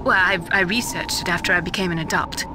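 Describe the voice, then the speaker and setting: An actress playing a composed female scientist on a military support team in a video game, speaking softly. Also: lowish voice, quick pace, natural voice. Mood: hesitant, evasive. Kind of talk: video game radio dialogue. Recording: game audio with music behind.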